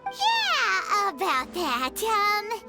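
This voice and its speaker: A tiny childlike fairy from a video game, extremely high and cute. The pitch leaps about wildly as it talks, a little hesitant, over loud music. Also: female voice, wildly swooping pitch, very slow, exaggerated voice. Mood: perky, awkward. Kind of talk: scripted video game voice acting.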